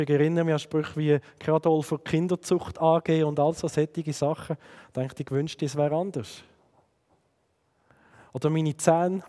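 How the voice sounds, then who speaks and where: A man in his thirties with a Swiss German accent from the Sense district of Fribourg, preaching an earnest sermon that drops to quiet emphasis. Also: fairly high pitch, long reverb tail. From Switzerland.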